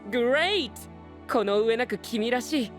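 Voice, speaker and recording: A man playing a refined, earnest young gentleman knight with formal speech and English interjections in an anime voice drama. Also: very high voice, lively intonation, normal speed, soft background music. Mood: admiring.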